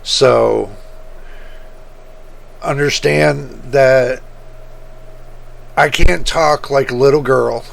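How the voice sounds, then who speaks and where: A man hosting his computer-building channel, talking casually and rambling, with a rough voice that repeats points as he goes. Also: mid-range voice. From United States. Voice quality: rough, gravelly voice. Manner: slow, rambling, repeats himself. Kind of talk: casual talk to camera.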